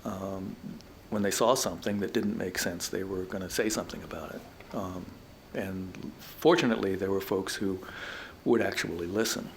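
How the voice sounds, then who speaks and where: Low-voiced man on a panel. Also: normal speed, a little hiss or hum. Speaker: alumni panelist. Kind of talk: reflective panel discussion. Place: college event room.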